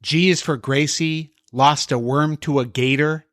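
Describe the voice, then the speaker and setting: A man's voice: a little high, steady tone, unhurried, fairly bright tone, dry recording. Man reading storybook style, dry studio sound. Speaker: podcast host. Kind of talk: scripted comedy dialogue and storybook reading.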